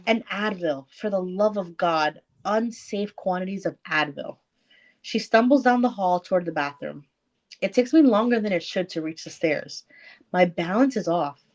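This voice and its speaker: Animated woman reading a novel aloud. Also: on the low side, moderate pace. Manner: animated, swearing, breaks off to comment. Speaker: book club podcast co-host. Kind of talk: casual dramatic read-aloud with reactions.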